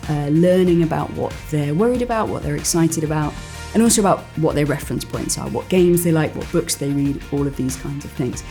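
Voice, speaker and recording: A woman's voice: low-pitched, a little fast, gravelly, dark tone, soft background music. A woman who makes games and runs workshops, explaining the project in an interview. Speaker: game workshop maker.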